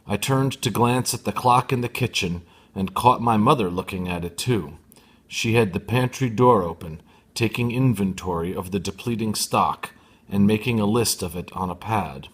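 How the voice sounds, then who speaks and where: A man with a fairly low voice reads a novel for young readers. His phrasing is deliberate and emphatic, in an expressive audiobook style. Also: slightly rough. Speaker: storybook reader.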